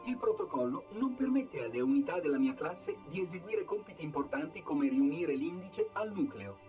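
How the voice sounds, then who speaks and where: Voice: mid-range voice, lively intonation, normal speed, a little mellow, recorded from a distance, highs cut off, natural voice. Officious machine caretaker acted for a game, formal and fussy, swooping intonation, off-mic under music. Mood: brisk, officious. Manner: formal, pedantic, prim. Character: fussy, officious floating robot caretaker of an ancient installation. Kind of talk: dubbed video game cutscene acting.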